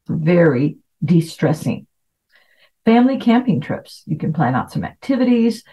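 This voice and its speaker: A woman's voice: low-pitched, dark tone. A middle-aged woman who grew up in Latin America, giving an informal, chatty self-help talk as a host. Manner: chatty, anecdotal. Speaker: podcast host. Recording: dull, lo-fi voice recording.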